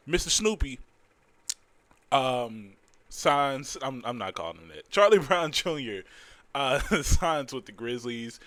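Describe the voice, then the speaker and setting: A young man hosting a casual sports news show, his voice a bit hoarse, rambling with fillers and restarts. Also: lowish voice, a bit bright, dry recording. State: losing his voice, slightly hoarse. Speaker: host.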